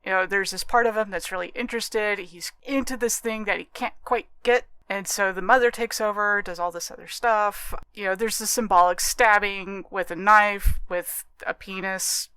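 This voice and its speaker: A woman's voice: mid-range voice, fairly even intonation, moderate pace, few pauses, sharp tone, smooth. A woman co-hosting a podcast, debating books and films casually in an assertive, matter-of-fact way. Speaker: podcast co-host. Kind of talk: casual book and film discussion.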